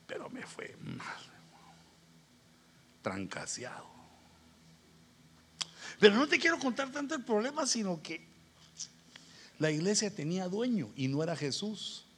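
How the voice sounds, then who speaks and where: A man's voice: high-pitched, expressive, moderate pace, fairly bright tone, a little hiss or hum. A middle-aged man with a Guatemalan accent preaching as a pastor in an informal, colloquial storytelling style, acting out bits of dialogue. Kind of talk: conversational sermon with anecdotes.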